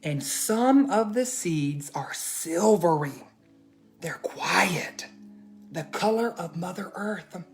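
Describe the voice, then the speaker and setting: A man reading aloud and teaching for children, expressive and addressing them directly with questions. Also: high voice, slow pace, faint music behind. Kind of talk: children's storybook read-aloud and lesson.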